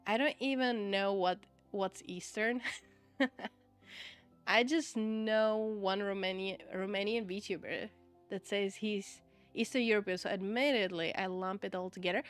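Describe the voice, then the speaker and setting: A woman with a Czech accent chats with her live audience in a middle-pitched voice. She laughs easily and keeps checking in with "okay?" as she makes her points. Faint music plays quietly behind her. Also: steady tone, measured pace, clean tone. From Czech Republic. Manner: chatty, laughs often, repeats "okay?" to stress points. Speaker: VTuber streamer. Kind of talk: casual livestream chat, answering viewer chat.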